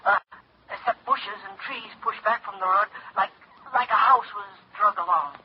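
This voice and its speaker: An actor playing a nervous rural farmer who reports strange tracks in halting, rustic speech, in a 1940s radio drama. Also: male voice, high voice, close to an everyday voice. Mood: uneasy. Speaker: farmer on the phone. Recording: muffled old radio broadcast, hiss.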